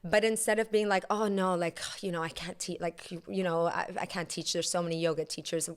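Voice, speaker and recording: A young woman with a calm, soft-spoken way of talking, her voice smooth and clear. Her pitch sits a little low for a woman. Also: fairly quick. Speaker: yoga instructor and guest. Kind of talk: casual chat.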